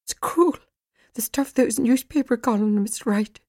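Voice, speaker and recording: A woman's voice: on the high side, unhurried, a little mellow, no room sound, clean studio sound, voice pushed up. A hurt young actress, played by a woman narrator, pleads indignantly in an audiobook story. Her voice climbs well above ordinary narration. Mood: distraught, indignant.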